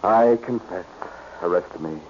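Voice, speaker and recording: A man's voice: low voice, measured pace, a little mellow. An actor playing a cool-headed, wisecracking male amateur sleuth, tossing off sardonic quips in 1940s radio detective style. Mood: flippant. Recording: muffled, noisy old radio recording.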